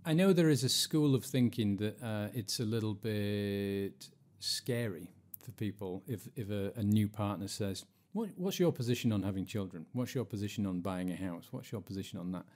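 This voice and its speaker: A man's voice: on the low side, expressive, moderate pace, a little mellow. A man, chatting casually as a podcast host in a jokey, self-deprecating way. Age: 40s.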